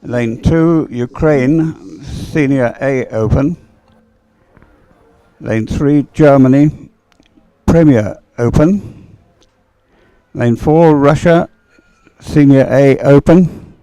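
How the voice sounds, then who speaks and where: A man giving live, unscripted sports commentary as a race commentator, with a halting, rambling delivery full of hesitation. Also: mid-range voice, mellow, no room sound, low-quality recording.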